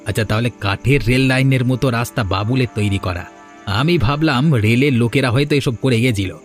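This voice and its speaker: A man's voice: mid-range voice, fairly quick, close to an everyday voice. A village villain from a cartoon, acted by a man, bullying and cowardly at heart. He schemes in an adult male voice, with faint music behind him. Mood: scheming. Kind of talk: cartoon voice acting.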